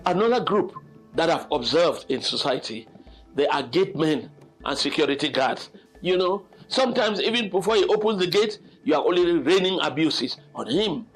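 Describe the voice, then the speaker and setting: Older male storyteller with a high-pitched voice. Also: normal speed, soft background music. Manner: expressive, dramatic, exclamatory delivery. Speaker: storyteller host. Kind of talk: informal spoken storytelling and reflection.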